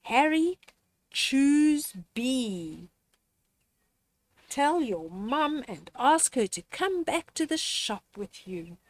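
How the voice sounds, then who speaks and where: An older woman reads a children's storybook aloud with very lively, swooping intonation. She takes her time, pausing deliberately and speaking straight to the young listener in a gently instructive way. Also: high voice. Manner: expressive, with deliberate pauses and dramatic gasps.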